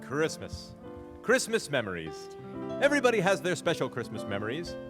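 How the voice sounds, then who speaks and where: Nostalgic adult narrator, male actor: animated, fond delivery, music underneath, live reverberant stage recording. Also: on the high side, normal speed. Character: nostalgic grown man fondly recalling childhood Christmases. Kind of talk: scripted monologue performed live.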